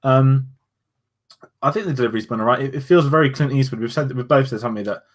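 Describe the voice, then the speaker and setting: A man's voice: mid-range voice, fast. A man co-hosting a casual review chat, musing loosely with restarts and fillers. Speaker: podcast co-host.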